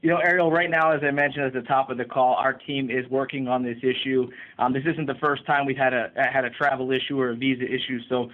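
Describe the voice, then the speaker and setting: A man's voice: medium pitch, level tone, fast, few pauses. A man giving corporate remarks as moderator of a press call over the phone. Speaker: public relations moderator. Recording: narrow phone conference line.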